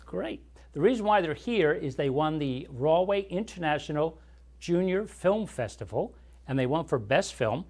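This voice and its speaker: A man's voice: fairly high pitch, animated, moderate pace, darkish tone. A man hosting a classic movie show, chatting with and interviewing young guests in an explanatory, friendly way. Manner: friendly, explanatory, asks follow-up questions. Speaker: host.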